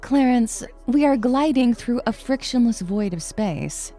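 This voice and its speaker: A woman's voice: on the high side, expressive, measured pace, warm tone, faint music behind. A woman playing a stern, weary science professor, sarcastic and pedantic, in a comedy audio drama. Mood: pedantic, annoyed.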